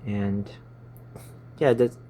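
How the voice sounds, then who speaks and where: Young Filipino-accented man, lowish warm voice, casual rambling delivery with verbal stumbles, phone-recorded. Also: fairly even intonation, very slow, a little hiss or hum. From Philippines. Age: 20s. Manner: stumbling, fumbling over words. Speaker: YouTuber. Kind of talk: casual rambling vlog chat. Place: small stuffy room.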